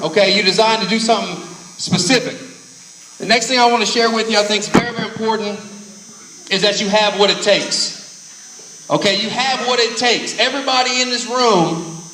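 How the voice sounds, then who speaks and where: Energetic man in his thirties, high and bright, preaching to kids in a large echoey hall. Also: normal speed, distant mic, slight background noise. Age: 30s. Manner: energetic, repetitive, preacherly emphasis. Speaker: guest speaker. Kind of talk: motivational speech to kids. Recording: echoey hall recorded from afar.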